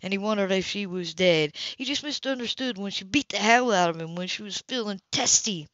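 A woman's voice: mid-range voice, fairly quick, a bit bright, no echo, muffled recording, lightly acted. A woman reading an audiobook, voicing a blunt, bitter young man who speaks in a hard, sarcastic, cutting way. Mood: cold.

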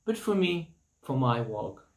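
A man in his thirties, not a native English speaker, casually reviewing a laptop in plain, halting English and apologizing for his language. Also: medium pitch, very animated, reverberant. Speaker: tech reviewer. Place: hot room at home with a construction site nearby. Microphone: laptop built-in mic and camera. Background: buzzing construction noise.

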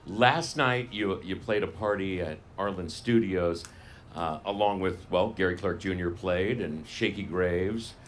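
A man's voice: deep voice, a little slow. A man hosting a live radio interview on an outdoor festival stage, joking and teasing his guests. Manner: jokey, teasing banter. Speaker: radio host. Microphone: stand mic on stage. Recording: outdoor live broadcast with faint noise.

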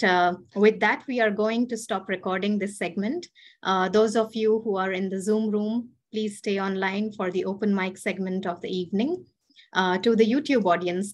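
Woman hosting a zoom poetry night, mid voice, polite with lots of uhs. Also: medium pitch. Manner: gracious, with filler ums. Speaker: host. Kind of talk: event hosting on video call. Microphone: video call.

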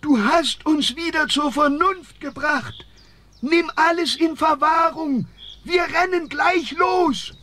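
An actor voicing quarrelsome forest spirits in a fairy-tale audio drama. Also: male voice, very high voice, slow, bright, forceful tone, a little hiss or hum, exaggerated voice. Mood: eager.

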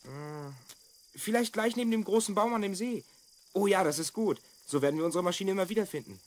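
A confident young boy, with a high voice and very lively intonation. He eagerly explains things like a little adventurer in a children's radio play. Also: brisk. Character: confident, adventurous twelve-year-old boy time traveller from the future. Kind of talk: children's audio drama acting.

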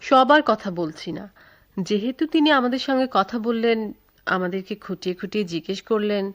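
An actress playing a timid, tender young woman in love in a radio drama, sentimental and soft, with a Bangladeshi accent. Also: medium pitch, fairly quick, close to an everyday voice. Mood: anxious. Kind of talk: theatrical radio drama acting. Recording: dull, lo-fi radio recording.

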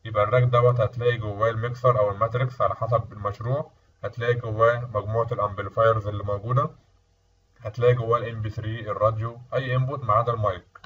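A male instructor with an Egyptian accent explains technical material in a lecture style. His voice is mid-pitched and slightly rough, and he poses questions that he then answers. The recording sounds dull, as if the mic sits some way off. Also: flat delivery, rapid-fire, a bit bright, recorded from a distance. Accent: Egyptian Arabic. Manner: asks and answers own questions.

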